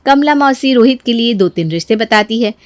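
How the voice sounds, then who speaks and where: A woman's voice: a little high, lively intonation, fairly quick, no room sound. A woman narrating a scripted family moral story as a storyteller.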